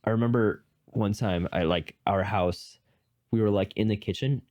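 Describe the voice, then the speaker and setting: A young man co-hosting a podcast, telling stories in a relaxed, casual way with lots of filler words. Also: fairly low pitch, moderate pace. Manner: relaxed storyteller, many fillers. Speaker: podcast co-host. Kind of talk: casual chat.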